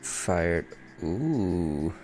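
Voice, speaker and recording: A man in his thirties casually commenting while he plays a video game, often reading item text aloud and muttering to himself, with an unusually deep bass voice. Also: very animated, very slow, darkish tone, soft background music. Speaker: gaming streamer. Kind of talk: casual let's-play commentary. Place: home streaming room, indoors.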